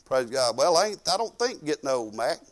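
A man in his fifties with a Southern US accent preaching informally, folksy and conversational, swinging emphatically between quiet asides and loud declarations. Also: medium pitch, big pitch swings, brisk. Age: 50s. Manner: folksy and conversational, peppered with praise exclamations. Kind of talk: informal sermon with personal anecdotes and prayer.

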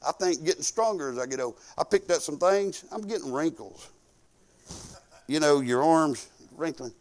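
A man in his fifties with a Southern US accent preaching informally, folksy and conversational, swinging emphatically between quiet asides and loud declarations. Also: on the high side, expressive, moderate pace. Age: 50s. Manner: folksy and conversational, peppered with praise exclamations. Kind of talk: informal sermon with personal anecdotes and prayer.

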